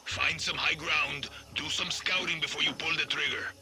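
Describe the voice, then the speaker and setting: A brash old guerrilla mentor. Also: male voice, fairly high pitch, steady tone, moderate pace, sharp tone. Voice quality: brash, teasing. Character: swaggering veteran male guerrilla mentor, wisecracking. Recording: game soundtrack music behind.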